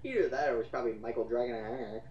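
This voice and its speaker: A man's voice: mid-range voice, fast talker, a little mellow, a little hiss or hum. A man co-hosting a casual mocking commentary, sarcastic and profane, often exclaiming as he talks. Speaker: co-host reading and mocking online comments. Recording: roomy, distant, slightly dull mic.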